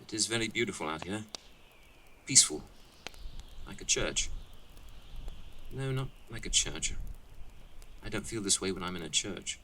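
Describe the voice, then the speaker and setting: A man's voice: deep voice, animated, moderate pace, pauses often, bright, forceful tone, slight background noise, full character voice. A man playing an earnest, talkative young college student camper, chatty but hesitant and musing, in an audio drama. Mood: reflective.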